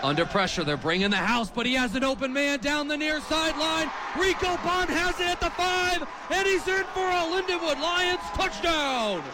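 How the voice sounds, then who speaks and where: A man in his twenties calling live American football on radio as play-by-play announcer, with an animated, excitable delivery. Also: very high-pitched, normal speed, few pauses, background noise. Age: 20s. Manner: animated, builds from clipped calls to excited shouting. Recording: stadium crowd behind the commentary. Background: stadium crowd.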